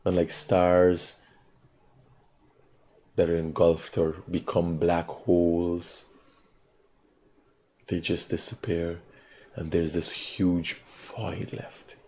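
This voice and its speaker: A Guyanese man in his forties with a low voice hosts a morning call-in show. He muses slowly on his own, then talks loud and fast when he argues with a caller. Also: monotone. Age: 40s. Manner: slow and reflective alone, loud and rapid when arguing. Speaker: radio call-in host.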